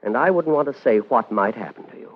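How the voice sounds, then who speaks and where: An actor playing a formal, quietly menacing mob lawyer in a vintage radio drama, measured and guarded. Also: male voice, mid-range voice, expressive, natural voice. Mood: veiled menace. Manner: measured, formal, hesitant politeness with veiled threat. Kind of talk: 1950s radio noir acting. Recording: muffled vintage radio recording, faint music.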